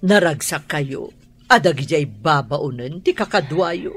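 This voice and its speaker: A woman's voice: deep voice, expressive, slow, slightly rough, full character voice. A woman acting a stern, controlling wealthy mother in a radio drama, speaking in a commanding, scolding way. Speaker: Madam Carla, employer and mother.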